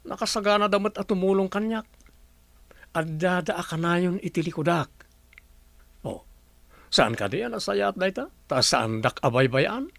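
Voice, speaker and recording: An actor playing a pleading, dependent young boyfriend in a radio drama, with a Filipino accent. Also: male voice, sits high, expressive, normal speed, vocal fry, long pauses, a bit bright, dry recording, heavily acted. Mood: coaxing.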